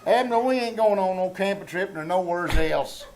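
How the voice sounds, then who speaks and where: Guy playing a bossy self-important country man, high voice, fed up, old radio comedy, bit of stage noise. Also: moderate pace. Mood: exasperated. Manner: pompous, rustic malapropisms, exasperated. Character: self-important, exasperated small-town country organizer. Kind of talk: live old-time radio comedy reenactment. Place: small-town theater stage, live performance. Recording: live theater stage, faint noise.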